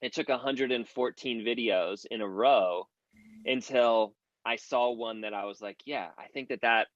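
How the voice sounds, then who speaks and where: A man's voice: mid-range voice, a little slow, no room sound, lo-fi sound. A man giving advice in a casual audio room chat, earnest and rambling, with many "like" fillers. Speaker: guest creator giving advice.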